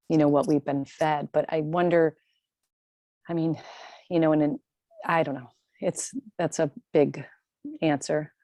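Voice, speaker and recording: A woman with a low, level voice poses a long question to her class. She rambles and gropes for her words. The tone is mellow, and the sound is dry and close. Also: no room sound. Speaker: adult class participant. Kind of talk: group discussion, asking a question.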